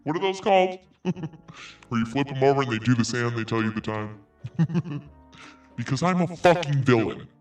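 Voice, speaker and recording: A man's voice: medium pitch, expressive, normal speed, long pauses, far from the mic, faint music behind. A man hosting a commentary podcast, reading messages aloud in a sarcastic tone and swearing freely. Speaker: podcast host.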